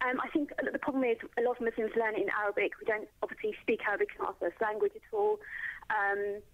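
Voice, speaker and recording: A woman's voice: on the high side, lively intonation, quick pace, creaky. A British woman giving her opinion informally and hesitantly as a caller to a radio phone-in. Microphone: telephone. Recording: tinny narrow phone line.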